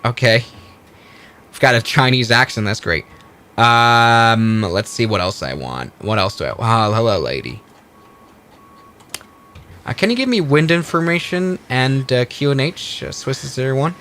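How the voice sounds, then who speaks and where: A man's voice: mid-range voice, expressive, measured pace, pauses often, sharp tone, a little hiss or hum. A man casually talking through a flight simulator stream, narrating each step aloud and correcting himself as he goes. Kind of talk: casual live-stream commentary.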